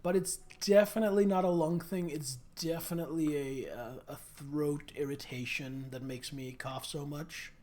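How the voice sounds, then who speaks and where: A game streamer with a hoarse, irritated throat, chatting casually and giving blunt, earnest advice with the odd swear word. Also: male voice, fairly high pitch, steady tone, moderate pace, hardly any pauses, darkish tone. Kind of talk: casual chat while playing.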